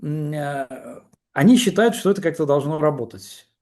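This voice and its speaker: A man speaking as a guest in a political conversation, making his case in a halting, argumentative way with many fillers and restarts. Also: a little high, animated, warm tone.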